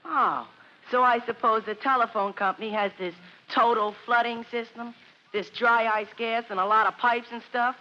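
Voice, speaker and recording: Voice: medium pitch, fairly even intonation, moderate pace, sharp tone, natural voice. An actor playing an exasperated, officious schoolboy chairing a meeting in an old radio drama. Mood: sarcastic. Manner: officious, sarcastic. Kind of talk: 1950s radio drama acting. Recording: muffled old radio recording, faint noise.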